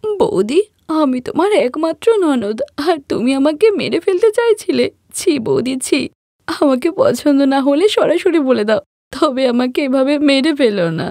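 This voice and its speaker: A woman's voice: high voice, animated, normal speed, darkish tone, dry recording, studio quality, pitched above the performer's own voice. A woman voicing an arrogant, scheming young sister-in-law in a cartoon story, with an accusing, melodramatic, taunting manner. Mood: wounded accusing. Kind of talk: Bengali cartoon story voice acting.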